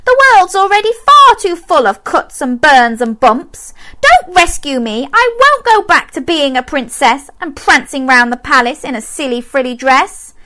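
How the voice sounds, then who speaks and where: A spirited storybook princess, as a woman puts her on speaks in a squeaky, very high voice. It is bright and eager, with lively leaps in pitch. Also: slow pace, full character voice. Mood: indignant. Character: kind, spirited young princess who wants to be a doctor.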